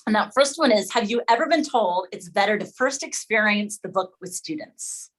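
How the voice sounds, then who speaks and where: Woman hosting an online teacher session, mid pitch, conversational, checking in with the group. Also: expressive, moderate pace, rough recording. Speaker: host of an online session for educators. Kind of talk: presenting and moderating a video meeting discussion.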